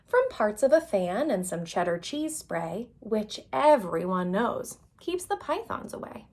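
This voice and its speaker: A woman's voice: mid-range voice, big pitch swings, measured pace, darkish tone. A woman reading a children's picture book aloud as a storyteller, expressively and with dramatic emphasis.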